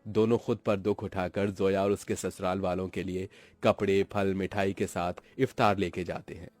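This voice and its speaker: A man's voice: low-pitched, flat delivery, moderate pace, a little mellow, dry recording, faint music behind. A man narrating a cartoon family story in a dramatic scripted storytelling style.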